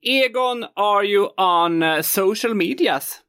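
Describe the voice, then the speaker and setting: Man hosting a podcast, high-pitched, very lively, quick affirmations, faint music under. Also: clean tone. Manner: friendly, brief affirmations. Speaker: podcast host. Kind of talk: casual interview.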